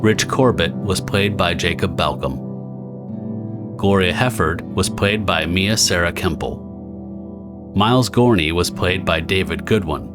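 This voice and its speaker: Deep-voiced male story narrator over music. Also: measured pace. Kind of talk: scripted dramatic story narration.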